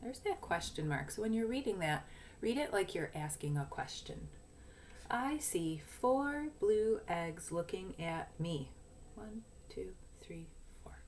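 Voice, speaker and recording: A woman teacher giving a lesson and read-aloud for young children, explaining simply and deliberately, step by step. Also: medium pitch, sing-song, a little mellow, a little hiss or hum. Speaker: early primary school teacher.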